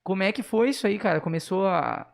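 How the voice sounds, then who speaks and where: A man's voice: sits high, fast, vocal fry. A man with a southern Brazilian Gaúcho accent hosts a casual interview, speaking in slang with frequent short words of agreement. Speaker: podcast host.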